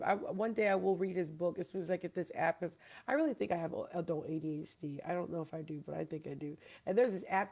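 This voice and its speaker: Gravelly woman host, rambling and emphatic. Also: fairly low pitch, warm tone. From Hawaii. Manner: rambling, emphatic, asks listeners questions. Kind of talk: casual debate chat.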